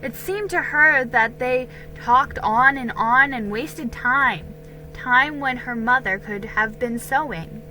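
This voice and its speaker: A woman's voice: a little high, slow pace, sharp tone, faint music behind, poor audio. A woman reading a children's storybook aloud as a dramatic narration. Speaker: story reader.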